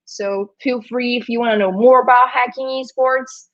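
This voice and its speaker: A woman from Brazil whose first language is Brazilian Portuguese, hosting a livestream in a chatty, halting way with frequent hesitations. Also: on the high side, steady tone, lo-fi sound. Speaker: host.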